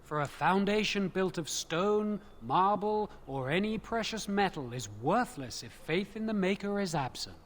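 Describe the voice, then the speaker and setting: A man's voice: high voice, lively intonation, unhurried, hardly any pauses, slight background noise. A man playing a pious chanter who recites holy scripture in a solemn, declamatory way.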